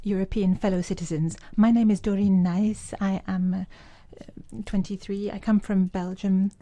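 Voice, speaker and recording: A woman giving simultaneous interpretation at a formal event. Her voice is dark and mellow, with frequent hesitations and fillers as she keeps pace with the speaker. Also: mid-range voice, steady tone, a little slow. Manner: hesitant, many fillers while keeping up with the speaker. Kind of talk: live interpretation of citizen speeches.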